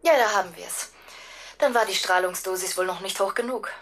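A woman's voice: mid-range voice, a bit bright, slight background noise, lightly acted. Actress as a cool, detached female official. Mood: cool, dismissive. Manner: matter-of-fact, brisk, detached. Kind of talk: radio drama acting.

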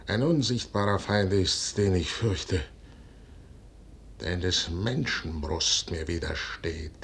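An actor playing a proud, brooding middle-aged general in a 1950s radio play, declaiming in a weighty, solemn stage manner. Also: male voice, vocal fry, fairly bright tone, husky, heavily acted. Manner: declamatory, weighty, solemn delivery with measured pauses. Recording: noisy 1950s radio recording with rumble.

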